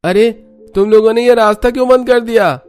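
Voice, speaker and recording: Very high voice, man acting young male truck driver, aggrieved, pleading, cartoon dialogue, soft music behind. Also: a little fast, smooth. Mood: indignant. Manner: pleading, complaining. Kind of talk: Hindi cartoon story dialogue.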